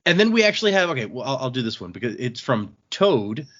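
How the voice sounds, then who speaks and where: A man's voice: mid-range voice, sing-song, fast, fairly bright tone, highs cut off. A man hosting a podcast, chatting casually and reading listener emails aloud in an easygoing, agreeable manner.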